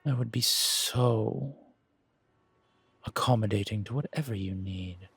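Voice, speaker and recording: A man voicing a smooth, seductive gentleman stranger in a romantic audio drama, with a breathy, drawling, flirtatious and formal way of talking. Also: lowish voice, slow, no echo, full character voice. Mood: courtly, inviting. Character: smooth, seductive, well-dressed male stranger, courtly and teasing.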